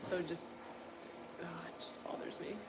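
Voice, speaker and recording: A young woman from coastal North Carolina with a deep voice, chatting casually on a livestream and answering viewers' comments with lots of filler words. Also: normal speed, noisy background. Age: young adult. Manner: rambling, filler-heavy, exasperated. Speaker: livestream host.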